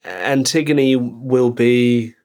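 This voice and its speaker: A young adult man who grew up in Belgium, an actor chatting as an interview guest and telling lively anecdotes. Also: medium pitch, drawn-out words. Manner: animated storyteller, laughs easily. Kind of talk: casual interview chat, anecdotes.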